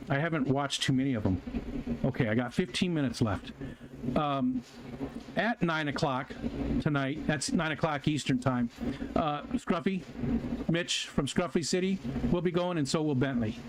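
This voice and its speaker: A man's voice: on the high side, normal speed. A man hosting his own live stream, chatting casually with viewers in a rambling way, with lots of fillers and restarts. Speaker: livestream host. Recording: noticeable background noise.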